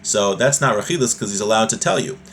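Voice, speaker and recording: A man's voice: lowish voice, expressive, fast, a bit bright. A man giving an informal religious lesson in a didactic, conversational way, reading from a text and then explaining it. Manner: didactic, conversational, checks in with "right?". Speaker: religious teacher. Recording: noisy background ambience.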